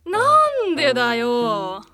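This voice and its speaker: A woman voicing a brash, hot-tempered devil in a radio drama, with rough, masculine, goading speech. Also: high voice, huge pitch swings, slow, music in the background, heavily acted.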